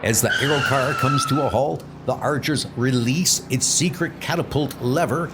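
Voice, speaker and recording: A man's voice: mid-range voice, normal speed, heavy background noise. A man narrating a superhero comic script in a theatrical, suspenseful manner.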